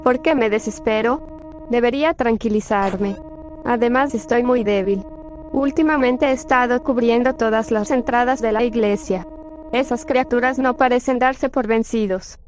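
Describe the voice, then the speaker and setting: A woman's voice: on the high side, moderate pace, lightly acted. A synthetic text-to-speech woman's voice playing a weary, protective church nun in a fan-dubbed cartoon game. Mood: weary, worried. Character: weary, protective church nun fighting evil spirits, kind to children. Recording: muffled lo-fi audio over music. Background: game music.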